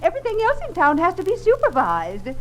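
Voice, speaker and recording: High, swooping, gushing society woman. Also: huge pitch swings, fast, slight background noise, full character voice. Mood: effusive. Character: gushing, chatty society woman, museum patron. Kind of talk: mid-century radio drama acting.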